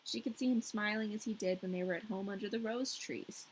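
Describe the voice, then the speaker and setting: A woman's voice: mid-range voice, fairly quick, slight background noise, poor audio. A woman hosting a story podcast, reading aloud with playful, irreverent casual asides.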